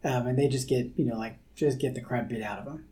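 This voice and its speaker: Mellow-voiced man explaining on a podcast. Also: mid-range voice. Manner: measured, explains through a worked example. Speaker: therapist podcast co-host. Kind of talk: explanatory conversational chat.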